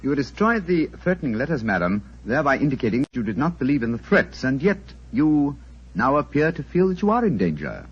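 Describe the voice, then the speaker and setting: An actor with a British accent playing a brisk, shrewd, sceptical gentleman detective in a 1940s radio drama, formal and precise. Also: medium pitch, big pitch swings, husky. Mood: probing, formal. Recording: muffled 1940s radio recording.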